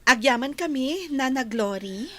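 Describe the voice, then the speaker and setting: A woman's voice: high voice, lively intonation, measured pace, sharp tone, no echo, heavily acted. A woman playing a kindly, helpful young wife in an Ilocano radio drama. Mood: pleasant.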